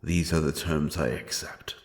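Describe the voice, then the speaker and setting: A man playing a grim, doomed, godless avenger in a dark fantasy audio drama, with a brooding, solemn delivery and weighty pauses. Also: very deep voice, little pitch movement. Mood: bitter, resigned.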